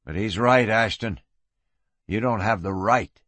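A man's voice: lowish voice, measured pace, fairly bright tone, no room sound. A man voicing a hard, defiant gunslinger in an audiobook Western, terse and menacing. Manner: terse, snarling.

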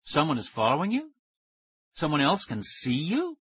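A man voicing an excitable, anxious but stubborn boy who talks in an urgent, exasperated, pleading way. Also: fairly high pitch, moderate pace, husky, no echo, dull audio, pitched above the performer's own voice, full character voice. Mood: worried.

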